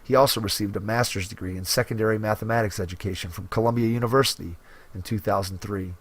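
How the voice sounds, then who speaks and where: A man hosting a basketball coaching podcast, reading a guest's biography in a measured announcer style and then asking interview questions. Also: on the low side, fairly even intonation. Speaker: podcast host.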